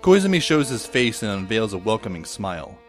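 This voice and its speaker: A man plays a resigned teenage schoolboy narrating an audio drama in a fairly low voice. He is dry and deadpan, with music playing clearly behind him. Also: a little fast. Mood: wry, measured. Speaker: narrator and lead character. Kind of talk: first-person scripted audio drama narration. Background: instrumental music.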